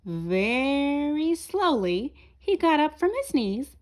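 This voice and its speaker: A woman reads a children's storybook aloud. Her voice is high, with very lively rises and falls, and her narration is expressive and aimed at young listeners. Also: slow pace. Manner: asks listeners questions as she reads. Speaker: storybook reader.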